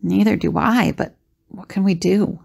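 A woman's voice: mid-range voice, a little slow, gravelly, a little mellow. A woman playing a sensible, perceptive, worried adventurer in an audio drama. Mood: uneasy.

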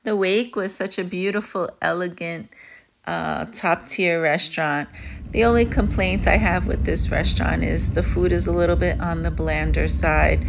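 A woman narrates a travel vlog as a casual, personal review. Her voice sits fairly low for a woman and has a clear creaky fry running through it. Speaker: travel vlogger. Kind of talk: casual voice-over review of a cruise trip.

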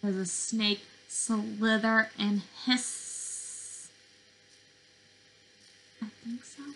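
A young adult woman reading interactively to small children as a teacher, expressive and sing-song, with questions and pauses. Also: on the high side, a little hiss or hum, poor audio. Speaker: speech-language pathologist presenting to preschoolers. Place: bedroom, indoors.

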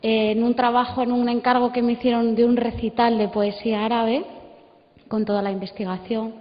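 A woman's voice: on the high side, little pitch movement, normal speed. Woman chatting through a story, big reverby auditorium, kinda muffled sound. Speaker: audience member at a panel. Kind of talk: conversational anecdote telling. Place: large auditorium during a panel.

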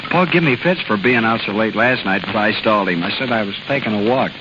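An actor playing a boyish young carnival lad with slangy speech, in 1930s radio drama style. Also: male voice, fairly low pitch, expressive, quick pace, lightly acted. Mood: lovestruck. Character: sheepish, lovestruck young carnival lad, evasive son. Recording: scratchy, dull 1930s radio broadcast.

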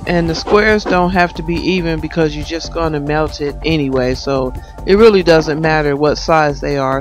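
A middle-aged woman vlogging about cooking, her voice hoarse from a cold, chatting eagerly to viewers. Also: on the low side. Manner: chatty, enthusiastic. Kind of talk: casual cooking vlog. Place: parked car. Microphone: phone camera held close.

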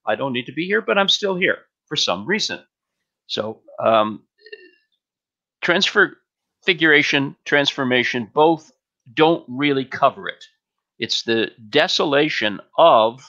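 An older man explaining as a spiritual teacher, in measured, halting phrases with emphatic short statements, on a headset. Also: medium pitch, bright, forceful tone. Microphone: headset on a video call. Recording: slightly dull online video-call audio.

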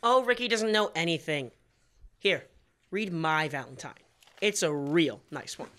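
A man's voice: on the high side, lively intonation, unhurried, pushed and bright. A teenage male actor playing a boastful, easily annoyed older brother in old-time radio comedy style. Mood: defensive. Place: school library. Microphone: standing stage microphone.

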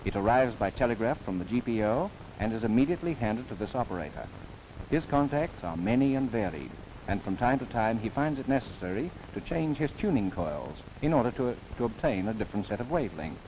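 A man's voice: mid-range voice, big pitch swings, brisk, darkish tone, poor audio. Man, documentary narrator, formal declamatory delivery, old film soundtrack, faint hiss. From New Zealand. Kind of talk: scripted narration, 1930s newsreel. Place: studio voice-over.

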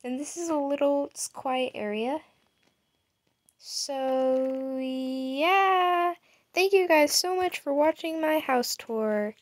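Woman gamer, high voice, unhurried rambling narration over gameplay. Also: no echo, clean studio sound. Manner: chatty, rambling with fillers. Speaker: gaming YouTuber. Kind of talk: casual game house tour. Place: home setup.

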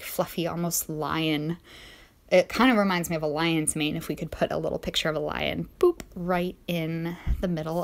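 A young woman from the eastern United States, chatty and upbeat, leading young children through a casual nature-walk lesson, recorded outdoors on a phone held at arm's length. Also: on the low side. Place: outdoors in a sunny neighborhood.

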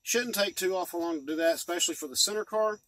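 Folksy man, higher-pitched, rambling casually. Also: fast, fairly bright tone, no room sound. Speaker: model train hobbyist and video host. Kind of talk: casual vlog update. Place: inside a truck cab. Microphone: phone or camera at arm's length.

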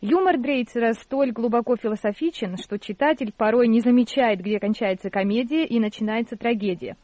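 A woman's voice: fairly high pitch, darkish tone, no echo. Woman hosting a dry radio show. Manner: measured, polite questioning. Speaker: radio host. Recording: dull dry radio sound.

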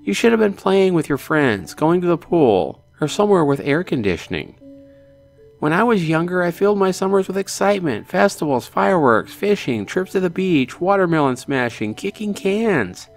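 A doting middle-aged visual novel mother, acted out by a man, pitched up high and very animated. She fusses, teases and cajoles theatrically, with music playing behind her. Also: normal speed. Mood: chiding, cheerful.

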